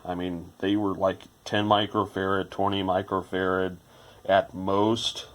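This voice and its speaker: Very low-pitched man thinking aloud while tinkering. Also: little pitch movement, measured pace. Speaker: electronics repair hobbyist presenting a restoration. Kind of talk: informal hands-on repair narration.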